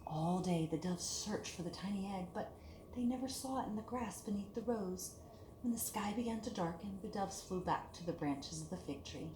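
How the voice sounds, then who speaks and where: A woman reading a picture book aloud to children in an expressive, deliberate storytelling manner, with hushed dips and emphatic lifts. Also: mid-range voice. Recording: echoey room with clear background hiss.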